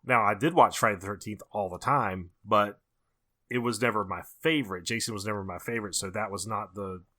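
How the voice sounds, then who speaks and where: A man with a slightly low, bright and forceful voice. He talks casually as a podcast co-host, opinionated about films and leaning on his points by restating them. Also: brisk. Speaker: horror movie podcast co-host. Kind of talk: casual opinionated film discussion.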